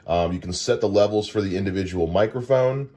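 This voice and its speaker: A deep, low-pitched man speaks into a dynamic broadcast mic in his home studio. He explains things in an easygoing way and often pauses on "uh" as he goes, like a podcast host showing off his setup. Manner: relaxed and explanatory, with frequent "uh" fillers. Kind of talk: casual gear unboxing walkthrough.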